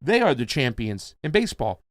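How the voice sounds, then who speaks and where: A man's voice: mid-range voice, very animated, brisk, no echo, clean studio sound. A man hosting a live political commentary stream, chatting casually and reading out viewer comments. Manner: chatty, reads viewer chat aloud. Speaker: host.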